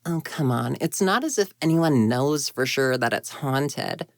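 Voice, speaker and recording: A woman's voice: extremely low pitch, expressive, unhurried, dry recording, clean studio sound, heavily acted. A woman voicing a grumpy, proud young female ghost in an intimate roleplay audio drama, huffy and easily flustered. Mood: indignant, incredulous. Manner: huffy, indignant, flustered stammers, conversational.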